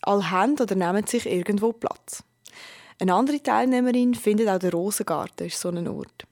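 A woman narrates a radio news feature. Her delivery is scripted, measured and even, at a middle pitch. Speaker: radio reporter.

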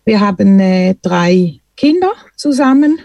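A woman in her thirties with a gravelly voice, answering plainly in a radio interview. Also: medium pitch, lively intonation, measured pace, mellow, no echo, dull audio. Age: 30s. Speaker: interview guest.

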